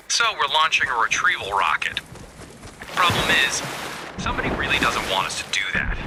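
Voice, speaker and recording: An actor playing a vain, flippant scientist in a video game, with a wry, theatrical, self-satisfied delivery. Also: male voice, medium pitch, natural voice. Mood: cocky, playful. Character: vain, flippant male scientist and resistance leader, playful and sarcastic. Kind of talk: scripted video game character dialogue.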